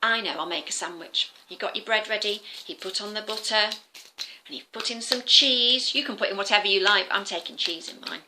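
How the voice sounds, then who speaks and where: A woman telling a story to young children in an animated, interactive way, often turning to the listeners with questions and simple explanations. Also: mid-range voice, brisk, background noise. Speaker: children's storyteller, former teacher.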